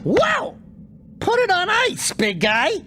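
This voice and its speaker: An actor voicing a small, cocky, sarcastic furball sidekick in a video game, always ready to complain. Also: male voice, extremely high pitch, wildly swooping pitch, slow pace, bright, forceful tone, faint music behind, exaggerated voice. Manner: sarcastic, brash. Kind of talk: animated video game voice acting.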